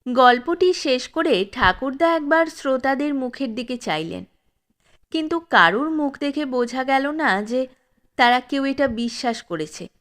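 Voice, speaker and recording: A woman narrating a classic story in a measured, expressive reading. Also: high-pitched.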